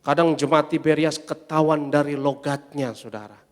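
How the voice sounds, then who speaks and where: A man preaching a sermon as pastor, emphatic, swelling loud on his refrains and calling the congregation to say amen. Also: a little high, fairly even intonation, normal speed. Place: large church hall. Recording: long church-hall reverb.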